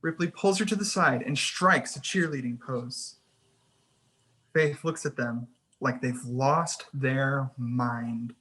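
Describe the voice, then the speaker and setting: Slightly husky screenplay narrator. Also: mid-range voice, takes it slowly, a bit bright. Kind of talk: scripted narration, reading screenplay action lines.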